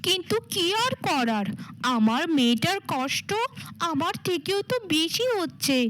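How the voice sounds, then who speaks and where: A woman's voice: high voice, lively intonation, unhurried, fairly bright tone, slight background noise, rough recording. A woman voicing a scheming stepmother in a cartoon fairy tale, with a theatrical manner. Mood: sugary, coaxing. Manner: theatrical, coaxing and cajoling. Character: scheming, cruel stepmother feigning sugary motherly love.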